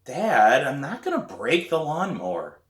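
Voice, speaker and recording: A man's voice: mid-range voice, wildly swooping pitch, unhurried, voice pushed up. A man voicing an eager, exasperated preteen kid in a children's book, pleading and defensive, with a whiny manner. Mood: nervous, pleading. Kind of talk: acted dialogue in a children's book reading. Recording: roomy echo.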